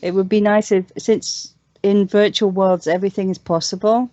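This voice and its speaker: An enthusiastic woman chats as a guest in a casual panel discussion. Her voice sits at a middling pitch, heard close and dry through a slightly dull, lo-fi online connection. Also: fairly even intonation, normal speed, dark tone, no room sound.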